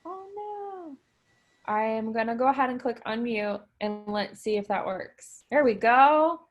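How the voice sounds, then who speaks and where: A woman's voice: a little high, lively intonation, measured pace, highs cut off. A woman moderating an online alumni panel over video call, enthusiastic and encouraging as she introduces guests. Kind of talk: upbeat online panel hosting.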